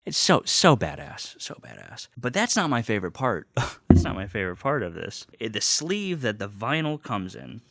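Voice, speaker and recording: A man's voice: fairly low pitch, wildly swooping pitch, normal speed, creaky, a bit bright, no echo. A man chatting casually and very enthusiastically as a vlog host, gushing like a devoted fan, repeating himself and swearing freely. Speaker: host of a personal video series. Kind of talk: casual unboxing chat.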